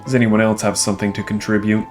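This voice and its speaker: A man's voice: lowish voice, little pitch movement, fast, over music, lightly acted. A man voicing a gloating, mocking villain for a video game, grandiose, mock-jovial and condescending. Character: gloating, theatrical male villain, smug and mocking. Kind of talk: character voice reading for a video game playthrough.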